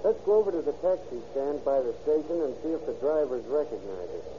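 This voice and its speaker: A man's voice: a little high, animated, quick pace, close to an everyday voice. An actor in an old radio crime drama playing a keen, daring policeman who disguises himself as a tramp. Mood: wry, cautious. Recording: dull, hissy old radio recording.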